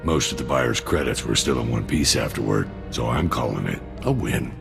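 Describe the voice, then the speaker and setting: A sardonic mercenary soldier with an extremely low, rumbling voice and plenty of gravel in it. He delivers his dry, greedy schemes in a laid-back game-cutscene style, with prominent music underneath. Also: animated, normal speed, heavily acted. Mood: wry, grumbling. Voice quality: very deep, gravelly. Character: cynical, greedy mercenary soldier, alien arms dealer with dry humour. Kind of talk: video game cutscene dialogue.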